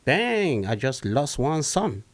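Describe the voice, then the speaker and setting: A man from Cameroon, a comedian guest, telling his story in a casual, lively way. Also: slow. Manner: animated, rising pitch when retelling stories.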